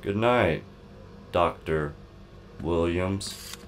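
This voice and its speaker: A man playing a newly activated android in an audio drama, speaking haltingly, word by word, as if learning speech. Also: deep voice, slight background noise. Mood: blank, halting. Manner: halting, word by word, echoing what he hears.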